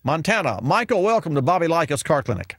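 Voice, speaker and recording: A man's voice: fairly high pitch, sing-song, fast talker, fairly bright tone, no echo, clean studio sound. A man in his fifties hosting a call-in car advice radio show, talking casually and rambling with plenty of fillers and restarts. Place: radio studio with colorful sign backdrop. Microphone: studio mic on boom arm, wearing headphones.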